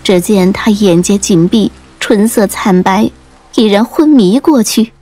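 Unhurried woman narrating an audiobook. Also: medium pitch, warm tone, husky. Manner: measured, literary. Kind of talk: dramatic scripted storytelling narration. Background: faint music.

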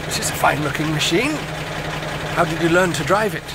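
A man's voice: fairly high pitch, fairly quick, creaky, heavy background noise. An actor playing an earnest, bewildered, upright young man in a 1920s radio drama. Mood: puzzled.

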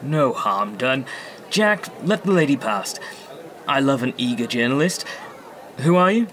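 A man acting a genial, courteous senator and flattering world leader in a radio drama. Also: mid-range voice, a little slow. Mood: gracious. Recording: crowd noise behind.

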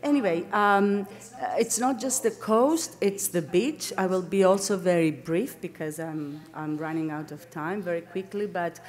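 A middle-aged woman with a Greek accent lectures at an academic seminar. Her voice sits a little low, and she speaks haltingly, often searching for the right word and correcting herself as she explains. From Greece. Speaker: academic lecturer, landscape architect. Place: indoor lecture room, at a lectern.

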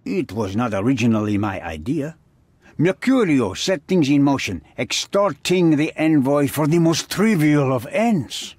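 A middle-aged male crime boss in a game drama, haughty and menacing. His voice is husky and moves widely in pitch, formal and imperious. It sounds close and dry. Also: expressive, a little slow, a little mellow, no room sound. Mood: calculating, smooth. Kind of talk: dramatic video game acting.

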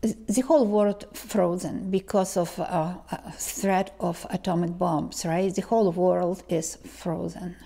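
A woman, talking slowly to camera as a tarot reader, pausing and restarting often. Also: on the low side, fairly even intonation, gravelly, warm tone, breathy edge. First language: Ukrainian or Russian. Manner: slow, halting, many restarts and ums. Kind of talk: casual vlog talk to camera. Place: living room indoors. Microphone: lapel mic.

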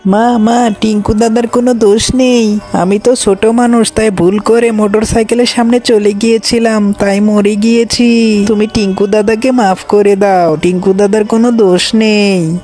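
Cartoon child ghost, small witch girl acted by a man, high-pitched and monotone, earnest and pleading. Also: normal speed, lightly acted. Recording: music behind the voice.

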